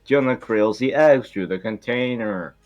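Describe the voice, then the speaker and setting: A man's voice: mid-range voice, moderate pace, darkish tone. Man narrating, slightly muffled, over music. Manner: stumbles over the script. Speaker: narrator reading stage directions. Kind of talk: amateur script table read.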